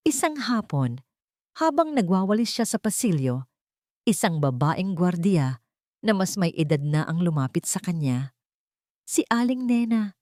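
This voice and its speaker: Expressive, low-pitched woman narrating drama. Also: no room sound, clean studio sound. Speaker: story narrator. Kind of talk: dramatic scripted narration of a Tagalog audio drama.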